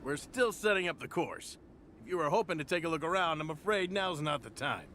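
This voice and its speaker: A man's voice: on the high side, expressive, fairly quick, noisy background, natural voice. An actor playing a polite, dutiful male event staffer in an anime game, speaking courteously. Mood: apologetic.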